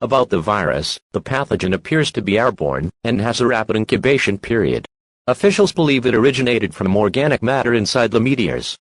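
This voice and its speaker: A man reading a scripted emergency broadcast announcement in a formal, measured, impersonal manner. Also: medium pitch, expressive, no echo.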